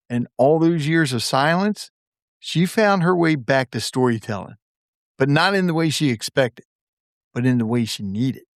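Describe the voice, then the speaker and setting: A man's voice: medium pitch, dry recording. A man hosting a podcast, eager and upbeat with a guest, turning thoughtful as he wraps up. His voice sits in a middle range and is recorded close and dry, with no room sound around it. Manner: eager, enthusiastic, reflective when wrapping up. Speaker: podcast host. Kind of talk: interview and closing monologue.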